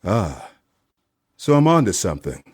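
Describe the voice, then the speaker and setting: Man playing a husky, dramatic noir private eye. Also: on the low side, huge pitch swings, measured pace, heavily acted. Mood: probing. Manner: theatrical, hardboiled first-person narration and probing questions. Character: dogged, wordy male private detective with corny wordplay. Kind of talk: comedic noir radio play acting.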